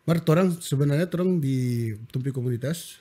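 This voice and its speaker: A man's voice: medium pitch, moderate pace. A man with a Manado accent, talking casually as a guest on a talk show and acting out the people he quotes. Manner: animated, acts out quoted people. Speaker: guest, AI content creator.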